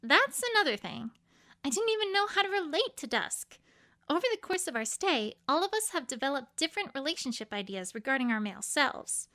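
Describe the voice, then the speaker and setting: A woman's voice: high-pitched, sing-song, brisk, sharp tone, full character voice. A woman voicing an earnest, bookish young female unicorn in a cartoon-pony story, explaining things carefully and a little formally. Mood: explanatory.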